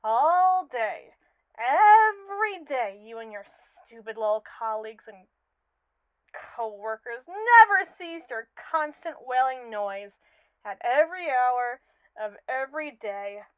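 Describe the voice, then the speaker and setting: High-voiced haughty robot woman, acted out by a woman. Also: lively intonation, slow pace, clean tone, no room sound, phone-quality sound. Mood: indignant, aggrieved. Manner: pompous, verbose, theatrically indignant. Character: haughty, manipulative captive female robot, sarcastic and possessive. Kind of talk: scripted ASMR roleplay monologue.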